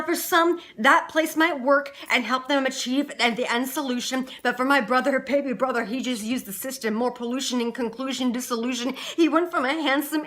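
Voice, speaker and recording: A woman's voice: a little high, pushed and bright. A young adult woman performing dramatic slam poetry with no music, in a rhythmic, emphatic, rhyming delivery. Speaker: slam poet.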